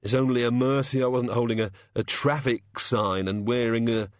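A man voicing Bilious, a queasy, pitiful male god of hangovers who groans and mumbles haltingly. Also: mid-range voice, fairly even intonation, no echo, clean studio sound, lightly acted.

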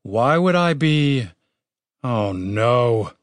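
A man's voice: mid-range voice, expressive, very slow, dry recording. Man doing a whiny, exasperated young bard. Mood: dismayed. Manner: whiny, sweary, explaining.

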